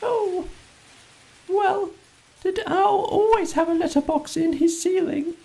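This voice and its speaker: A man voicing a small, timid, polite piglet from a children's storybook, in a thin squeaky falsetto with an earnest, hesitant manner. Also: takes it slowly, vocal fry, mellow, exaggerated voice, rough recording. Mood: anxious, wondering.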